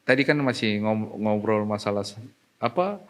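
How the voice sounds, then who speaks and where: A man's voice: on the low side, lively intonation, moderate pace. A man co-hosting a casual podcast, making wry remarks and steering the talk. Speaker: podcast co-host. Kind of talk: casual chat.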